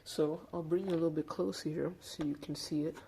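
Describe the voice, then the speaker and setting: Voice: medium pitch, fairly even intonation, a little fast, creaky, noisy background. A hobbyist presenter giving a casual hands-on demonstration, explaining things informally step by step.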